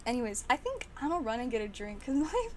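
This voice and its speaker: A woman hosting a card-opening livestream, chatting casually and excitedly, her voice slightly raspy and strained. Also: a little high, fast, slight background noise. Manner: chatty and excitable, with frequent laughs. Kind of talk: casual livestream chat while opening packs.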